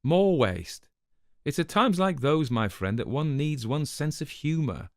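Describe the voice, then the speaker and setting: A man's voice: fairly high pitch, sing-song, a little slow, no room sound, clean studio sound, pitched above the performer's own voice. A man voicing a callous, haughty spymaster with formal, precise, disdainful phrasing in a dramatic story reading. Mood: callous, scornful. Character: callous, haughty male spymaster, coolly dismissive.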